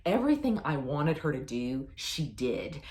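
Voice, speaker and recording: A woman's voice: deep voice, big pitch swings, measured pace, darkish tone. A woman chatting casually as a book reviewer, effusive and emphatic with a playfully self-deprecating manner. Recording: roomy, echoey sound.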